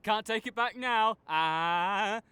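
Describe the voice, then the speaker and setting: A man playing an arrogant, teasing supervillain rival with a soft spot, speaking theatrically to the listener in an audio roleplay. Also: high voice, takes it slowly, clean tone, dry recording, exaggerated voice. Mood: playful, gloating. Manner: smug, teasing, theatrical with sighs. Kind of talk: dramatic roleplay monologue spoken to the listener.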